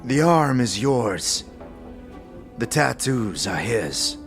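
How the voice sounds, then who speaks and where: A man's voice: mid-range voice, very animated, extremely slow, full character voice. A man playing a stoic, guarded old warrior in a fantasy audio drama, speaking in a terse, formal, grave way. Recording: music under the voice.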